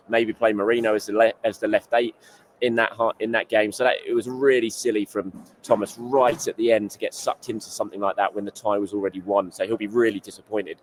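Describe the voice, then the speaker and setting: A man hosting a football show, talking fast in a rambling, elated post-match report from a stadium while losing his voice. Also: on the low side, a little hiss or hum. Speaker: football journalist and show host.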